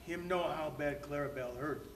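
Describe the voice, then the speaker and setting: A man's voice: on the high side, normal speed, lightly acted. A man playing a stoic, helpful sidekick in an old-time Western radio play, speaking terse broken English, distant from the mic. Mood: calm, helpful. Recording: distant from mic, faint noise.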